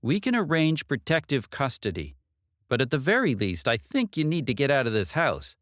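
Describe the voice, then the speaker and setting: Audiobook police detective, female played by a man: raised pitch, lively inflection, measured and concerned. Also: no room sound, clean studio sound. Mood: urgent concern. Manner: measured, earnest, official questioning.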